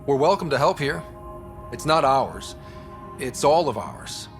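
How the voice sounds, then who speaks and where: An actor playing a young, principled and wary space explorer who leads a team in a video game, speaking plainly but with resolve. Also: male voice, mid-range voice, very animated, unhurried, faint music behind. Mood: solemn, hopeful. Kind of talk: video game character acting.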